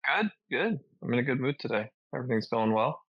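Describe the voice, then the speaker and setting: A man with a mid-pitched voice co-hosts a casual chat. He sounds upbeat and affable as he welcomes people and reacts to them. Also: very animated, a little fast, breathy edge, no echo, lo-fi sound. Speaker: co-host.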